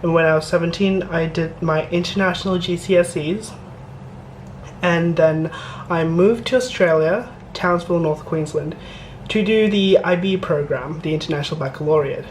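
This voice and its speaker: Young man, from Malaysia, casual vlog chat, rambling asides, a touch high-pitched. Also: normal speed, a little hiss or hum. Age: young adult. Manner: chatty, rambling, with self-deprecating asides. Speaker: student vlogger.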